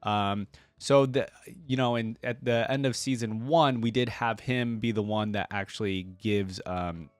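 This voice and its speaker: A man's voice: on the low side, steady tone, unhurried, smooth, no room sound. Casual man hosting a reaction video, dry sound. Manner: informal, slangy asides between read passages. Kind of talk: reading a game lore page aloud with casual reaction commentary.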